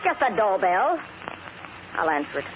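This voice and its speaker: A woman's voice: medium pitch, very animated, unhurried, noisy background. An actress playing a sassy, coaxing gangster's girlfriend, pouty and slangy in 1940s radio style. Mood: impatient. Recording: muffled old radio recording.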